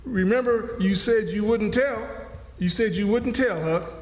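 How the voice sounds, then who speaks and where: Guy doing superstitious runaway in a radio play, folksy talk, pretty high voice, really echoey. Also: unhurried. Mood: wary, confiding. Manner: folksy dialect, pleading and repeating himself. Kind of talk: stage radio drama acting. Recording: echoey hall, live stage performance.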